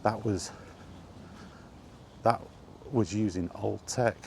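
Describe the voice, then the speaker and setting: A middle-aged man in a casual, rambling vlog chat, speaking conversationally with halting pauses while out walking. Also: on the low side, vocal fry, a little mellow. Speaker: gaming vlogger. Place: outdoors, walking. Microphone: microphone with wind guard. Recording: windy outdoor recording, background noise.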